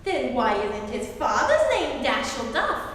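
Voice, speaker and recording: An actress playing a scatterbrained, stubbornly literal wife in an old-time radio comedy, speaking with naive earnestness. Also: female voice, high voice, very animated, measured pace, fairly bright tone, heavily acted. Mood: naive, insistent. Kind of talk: 1940s radio comedy acting on stage. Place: theatre stage, live performance. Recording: echoey hall, distant mic, faint noise.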